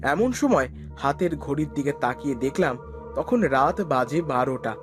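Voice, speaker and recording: A man's voice: fairly high pitch, animated, moderate pace, music in the background. A man giving a scripted first-person narration of a horror story.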